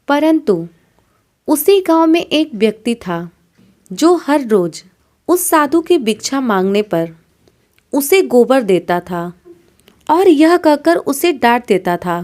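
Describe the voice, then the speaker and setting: ऊँची आवाज़ में धीरे कहानी सुनाती महिला. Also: female voice, high-pitched. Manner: didactic, addressing listeners as friends. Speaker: storyteller. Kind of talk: scripted moral story narration.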